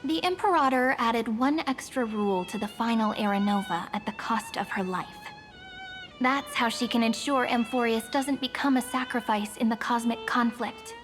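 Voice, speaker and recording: Woman acting a poised game character, highish voice, articulate, playful, knowing, music behind. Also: normal speed, close to an everyday voice. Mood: calm, serious. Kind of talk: video game story dub acting.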